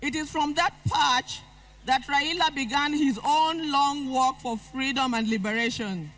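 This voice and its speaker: A woman's voice: high-pitched, a little slow, fairly bright tone, poor audio. An older woman with a Kenyan accent reading a formal eulogy aloud. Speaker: eulogist at a funeral.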